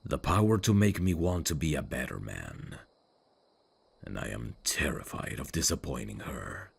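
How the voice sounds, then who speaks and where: A man playing a brooding dark fantasy prince in an intimate ASMR roleplay, tender and lovesick, with a husky, gravelly voice and a hushed, formal delivery. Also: a bass voice, animated, heavily acted. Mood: anxious longing. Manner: slow, hushed, formal and halting. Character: brooding evil prince, tender and lovesick toward old friend. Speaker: voice actor.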